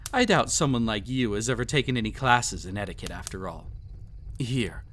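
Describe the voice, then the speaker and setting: A man's voice: mid-range voice, a bit bright, slight background noise. A man playing a cruel, possessive emperor with a haughty, silky, condescending manner in a scripted roleplay addressed to the listener. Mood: coaxing, haughty. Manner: haughty, silky, condescending, theatrically drawn-out delivery. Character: cruel, possessive, mocking young emperor pampering a captive pet.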